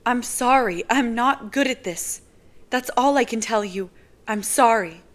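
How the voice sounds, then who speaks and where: A woman voicing a flustered, defensive young woman in an audiobook, her lines pitched high and strained. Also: unhurried, natural voice.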